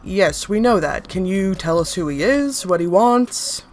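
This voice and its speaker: A woman voicing a male scientist who interviews a strange being, calm and professional. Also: medium pitch, unhurried, soft background music, close to an everyday voice. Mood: measured.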